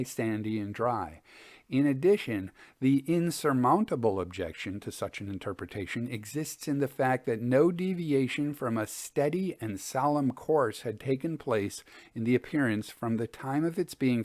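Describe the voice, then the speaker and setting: A man narrating scripted stories as a storyteller and host, with a measured, formal delivery. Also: mid-range voice, darkish tone, no echo, clean studio sound. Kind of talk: scripted narration of old ghost stories with commentary.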